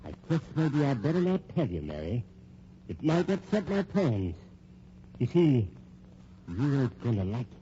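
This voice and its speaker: An actor playing a vengeful, folksy old rich American husband in a vintage radio drama, hesitant, rambling and slyly menacing. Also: male voice, medium pitch, sing-song, a little slow, long pauses. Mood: quiet menace. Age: older. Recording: muffled, noisy old radio recording.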